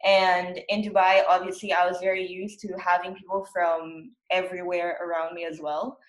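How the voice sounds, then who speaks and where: A woman's voice: on the low side, flat delivery, normal speed. A young woman from Brazil, a university student speaking earnestly in a casual chat. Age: young adult. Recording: echoey room.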